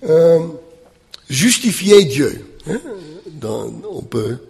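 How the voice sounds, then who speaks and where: A non-native man lecturing on scripture, slow and halting, with lots of "euh" and tag questions. His voice is gravelly and swings up and down a good deal. A long reverb trails behind him, as if he were in a big hall. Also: on the high side, very animated, a little mellow. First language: not French. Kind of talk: lecture on the Bible.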